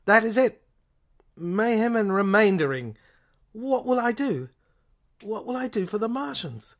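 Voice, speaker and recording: Woman doing an agitated, loud police sergeant. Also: mid-range voice, unhurried, pitched above the performer's own voice. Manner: loud, excitable exclamations.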